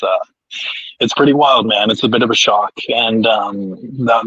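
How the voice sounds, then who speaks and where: A man with a low, husky voice chats in an easygoing, rambling way, often circling back with "you know" and restarts. The recording is dry and a little dull and lo-fi, as if picked up with a basic mic. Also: no echo. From Canada. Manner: relaxed, rambling with frequent fillers and restarts. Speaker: guest. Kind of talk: casual chat.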